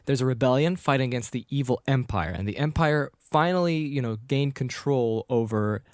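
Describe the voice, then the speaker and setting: A man co-hosting a casual podcast, with a middle-pitched voice recorded close and dry. He rambles through a film's plot, now and then slipping into quick character impressions. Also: a little mellow, dry recording. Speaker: podcast co-host. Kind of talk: casual chat.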